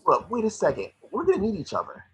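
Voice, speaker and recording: A man playing a small, cocky, wisecracking mouse detective in a table read, with a rough-edged husky voice. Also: sits high, wildly swooping pitch, heavily acted. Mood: pleading. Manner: fast-talking wisecracking patter. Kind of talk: animated script table read.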